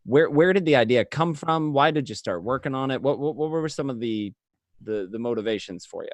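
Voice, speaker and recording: A man's voice: mid-range voice, lively intonation, a little fast, dry recording. A man hosting a casual podcast interview in a jokey way, often repeating his words. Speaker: host asking the questions.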